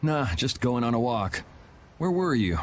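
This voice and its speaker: Game hero: cool young vigilante, mid-range voice, dry and sardonic, noise underneath. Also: male voice, mid-range voice, very animated, measured pace. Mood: casual, probing. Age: young adult. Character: cool, wry young male guild vigilante. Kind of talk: video game voice acting.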